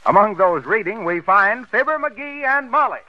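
A man with an American accent announcing a 1930s radio show in a polished, salesmanlike way, reading scripted pitches and scene-setting. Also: lively intonation, normal speed. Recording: muffled, noisy 1930s radio recording.

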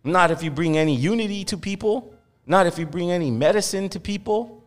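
A man's voice: high voice, brisk. A man hosting a podcast in heated, casual talk, impassioned and profane. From Los Angeles. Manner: impassioned, profane, mocks others in quoted voices. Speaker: podcast host. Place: podcast studio. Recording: long hall reverb, faint music.

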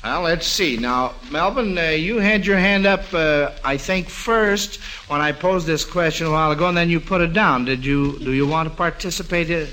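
A man's voice: on the high side, moderate pace, few pauses, pushed and bright, rough recording. An American man hosting a mid-century radio quiz show as quizmaster. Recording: vintage radio recording, faint noise.

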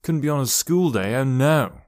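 A man's voice: mid-range voice, animated, moderate pace, no echo, clean studio sound, pitched above the performer's own voice, close to an everyday voice. A man voicing a grumpy thirteen-year-old boy who talks in a cross, grumbling way.

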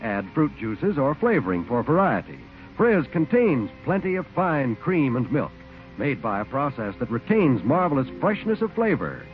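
A man's voice: medium pitch, sing-song, normal speed. A man reading a scripted 1940s radio commercial in an upbeat, persuasive manner. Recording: tinny 1940s radio broadcast with music.

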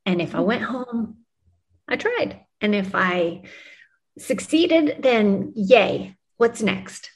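A woman's voice: mid-range voice, lively intonation, slow pace. Woman guest from New Mexico; easygoing, chatty delivery full of ums and laughs. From New Mexico, USA. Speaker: podcast guest, music publicist. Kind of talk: casual conversational interview.

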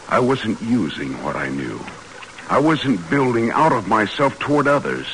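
An actor playing an earnest, visionary American major and former teacher, speaking reflectively in 1940s radio style. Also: male voice, on the low side, fairly bright tone. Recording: noisy vintage radio drama recording.

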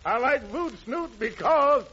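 A man with a very high, bright voice delivers playful jive patter as an old-time radio host. He sounds like a mock-pompous showman, on a dull vintage recording with an audience. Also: expressive, unhurried, slightly rough.

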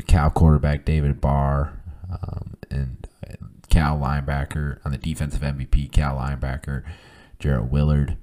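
Male podcast host with a hoarse flu voice and a dark, mellow tone that barely rises or falls; hesitant, filler-heavy, runs through stat lists. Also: rumbling low. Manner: halting, many ums and uhs, reads off lists of stats. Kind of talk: casual solo podcast talk.

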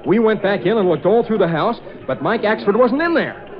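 A man's voice: sits high, lively intonation, a little fast, over music. An actor playing a brash young prankster of a newspaperman, chatty and slangy, in 1940s radio style. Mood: uneasy explaining. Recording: dull old radio recording, chatter behind.

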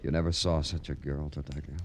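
Brooding american radio cop, really low voice, terse, kinda wistful, old recording. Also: male voice, little pitch movement, a little fast. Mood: preoccupied. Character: brooding, terse male police detective with a wistful streak. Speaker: lead actor in a detective radio drama. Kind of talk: 1940s-50s radio crime drama acting.

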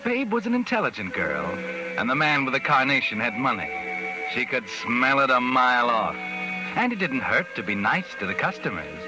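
Sinister mystery narrator over music. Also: male voice, mid-range voice, wildly swooping pitch, rough recording. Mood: sardonic, knowing. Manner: ominous, measured, theatrical. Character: the Whistler, sinister, knowing night-walking male storyteller. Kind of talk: 1940s radio mystery narration. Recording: old radio recording with music behind.

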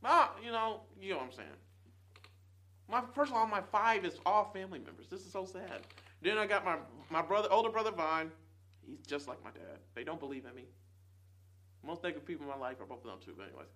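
A man in his twenties talking casually and earnestly to his viewers, rambling and swinging between loud bursts and quiet trailing asides. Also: sits high, lively intonation, fast talker. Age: 20s. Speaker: vlogger. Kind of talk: casual chat to camera.

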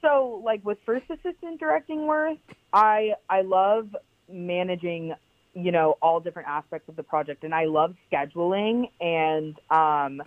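A woman's voice: fairly low pitch, measured pace, dry recording. A young woman with a powerful voice, chatting casually as a podcast guest with lots of "like" fillers. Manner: chatty, many "like" fillers, emphatic. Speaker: guest, founder of a clothing brand. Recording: tinny phone-like line, dry.

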